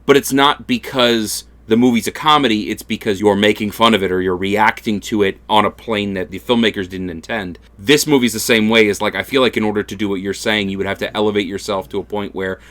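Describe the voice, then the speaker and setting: A man's voice: fairly low pitch, fairly even intonation, fairly quick, hardly any pauses, a bit bright. A man co-hosting a movie review podcast, arguing his point with lots of "like" and jokey outbursts. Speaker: podcast co-host. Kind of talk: casual movie review chat.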